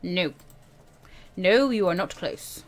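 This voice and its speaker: A woman's voice: lowish voice, expressive, slow pace. A woman reading a visual novel aloud and adding playful, giggly asides of her own. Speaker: let's play host.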